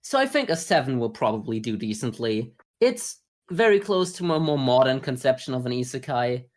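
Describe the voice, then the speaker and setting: A man co-hosting a podcast, talking through his views in a measured, explanatory way in a casual review discussion. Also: mid-range voice, sing-song. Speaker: podcast co-host.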